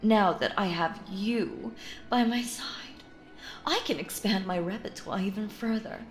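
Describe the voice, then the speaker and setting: A woman acting out a sinister, possessive stage magician in an intimate audio roleplay, cooing endearments theatrically and slipping into breathy, menacing whispers. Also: medium pitch, unhurried, roomy sound, faint music behind, close to an everyday voice. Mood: secretive, grandiose.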